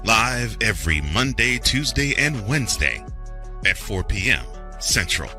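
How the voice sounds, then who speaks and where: A man's voice: lowish voice, big pitch swings, measured pace. A man reading a scripted podcast promo as an announcer over music.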